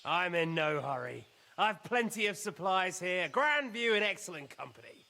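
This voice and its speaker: An actor playing a defiant, sly villain in a video game, projecting loudly with sardonic, taunting delivery. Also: male voice, high-pitched, sing-song, normal speed, gravelly, big-room sound, full character voice. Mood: smug, taunting. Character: defiant, sly male villain holding a castle. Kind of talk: cinematic video game acting.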